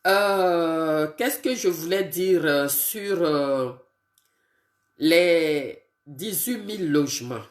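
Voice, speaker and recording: Slow, congested woman livestreaming. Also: sits low, reverberant. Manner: greets viewers one by one, slow and halting with fillers. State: congested with a cold, sniffly and tired. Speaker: political live streamer. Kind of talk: casual live video chat greeting viewers.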